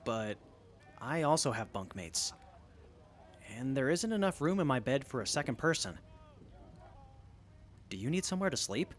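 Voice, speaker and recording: A man playing an earnest, literal-minded young soldier in an audio drama, speaking in a deadpan way. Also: medium pitch, normal speed, long pauses, slight background noise, lightly acted.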